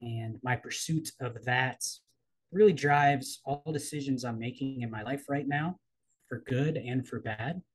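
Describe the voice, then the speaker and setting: Measured, reflective American man. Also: medium pitch, husky, low-quality recording. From East Coast of the United States. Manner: thoughtful, measured, with frequent ums and trailing pauses. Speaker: guest, coach and writer. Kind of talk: reflective personal interview.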